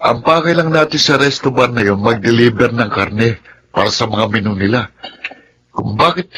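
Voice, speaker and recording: An actor playing a blunt, exasperated friend in a Tagalog radio drama. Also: male voice, medium pitch, moderate pace, bright, forceful tone, a little hiss or hum, natural voice, low-quality recording.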